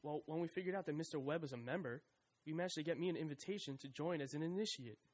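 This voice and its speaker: A man's voice: a little high, fast, darkish tone, close to an everyday voice. A young man voicing a cocky, wisecracking slacker who talks in laid-back slang. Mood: joking. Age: young adult. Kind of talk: audio drama acting and narration.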